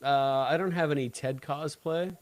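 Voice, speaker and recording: A man's voice: a little high, normal speed, no room sound. A man co-hosting a podcast, talking casually and giving blunt, opinionated takes in conversation. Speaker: podcast co-host. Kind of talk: casual chat, episode discussion.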